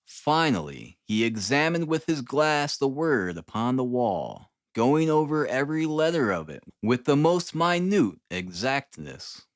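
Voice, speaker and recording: Man, audiobook narrator, very animated intonation, scripted literary storytelling, dry close sound. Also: medium pitch, a little slow, hardly any pauses, no echo. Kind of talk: scripted narration of a classic detective novel.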